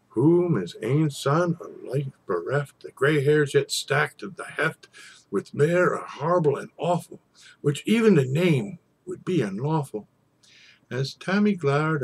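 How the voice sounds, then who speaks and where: A man gives a dramatic recitation of an old Scots tale in verse. His voice is husky and crackles with fry. He takes his time, storyteller fashion, with theatrical pauses and swells. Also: medium pitch. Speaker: poetry reader.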